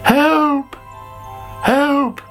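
A man's voice: mid-range voice, measured pace, a little mellow, faint music behind, close to an everyday voice. A man voicing a greedy young male caterpillar, cheeky and easily upset, in a children's storybook. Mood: panicked.

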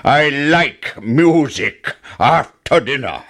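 Wicked storybook giant: booming, commanding, very slow delivery with big pitch swoops. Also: male voice, animated. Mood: demanding. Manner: booming, drawn-out commanding shouts. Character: wicked, menacing giant who roars orders. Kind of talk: children's storybook character.

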